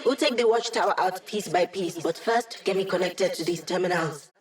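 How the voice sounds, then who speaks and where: A woman's voice: lowish voice, moderate pace, far from the mic, natural voice. Game role, woman actor: young female hacker as a digital projection, teasing, sassy, sure of herself, dry sarcasm. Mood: playful. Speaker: video game voice actress. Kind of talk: video game character voice lines.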